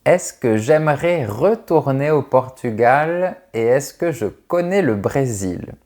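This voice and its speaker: A man in his thirties from France, a French teacher answering viewers' questions in a clear, carefully articulated, friendly way. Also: medium pitch, expressive, slow pace, a little mellow. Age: 30s. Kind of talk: slow, clear video Q&A for learners.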